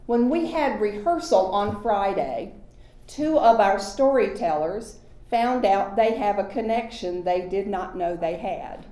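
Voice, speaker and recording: A woman's voice: mid-range voice, expressive, measured pace, mellow, a little hiss or hum. A woman hosting an event, introducing storytellers in a friendly, informal way. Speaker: event host. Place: retirement community event room. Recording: echoey room.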